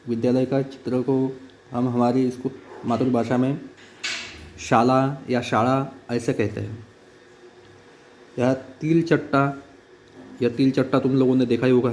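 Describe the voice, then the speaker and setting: A man teaching a primary school lesson, pausing often with "uh" fillers as he explains each picture. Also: mid-range voice, darkish tone, slight background noise. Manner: halting, with many "uh" fillers. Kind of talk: online class lesson.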